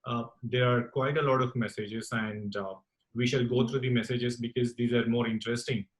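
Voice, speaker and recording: A man acts as host of an online group call. He speaks informally as he moderates, with a middle-range pitch for a man. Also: steady tone, moderate pace.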